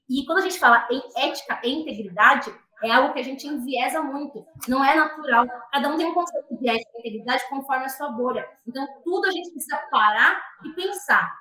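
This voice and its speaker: Bright high-voiced woman, earnest. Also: reverberant, poor audio. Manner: earnest, reflective, many fillers and restarts. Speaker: guest. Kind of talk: conversational discussion.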